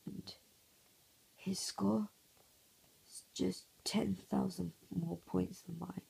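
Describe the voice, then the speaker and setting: A hoarse young man talks slowly in a hushed half-whisper, his voice heavily gravelly and raspy. He sounds dark and low-key, recorded on a phone at home while others sleep. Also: steady tone, rough recording. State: hoarse, croaky voice. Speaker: gameplay commentator. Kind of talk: casual mobile gameplay commentary. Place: home, early morning.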